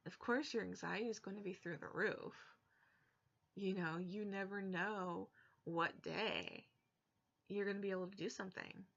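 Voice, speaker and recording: A woman's voice: on the low side, bright, forceful tone. Blunt woman on a webcam. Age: young adult. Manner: frank, exasperated, blunt asides. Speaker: vlogger. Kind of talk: candid personal vlog. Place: bedroom, indoors. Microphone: webcam at desk. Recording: dull lo-fi webcam audio.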